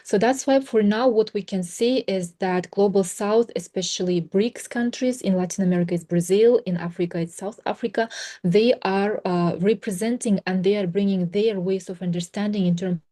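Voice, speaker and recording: A woman from Kazakhstan, a seminar panelist on a video call, answering a question earnestly and pausing often to find her words. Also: mid-range voice, warm tone. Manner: earnest, searching for words with many fillers.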